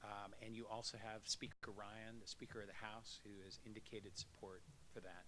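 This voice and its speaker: Bright-voiced man giving a wry policy update. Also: lowish voice, steady tone, normal speed, slight background noise, rough recording. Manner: conversational, wry policy briefing. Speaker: association executive giving a legislative update. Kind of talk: conference speech.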